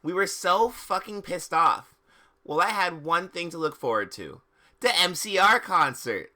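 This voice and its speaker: A man live streaming, switching between dramatic reading and casual, slangy commentary, often halting, repeating words and sounding exasperated. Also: high-pitched, lively intonation, sharp tone.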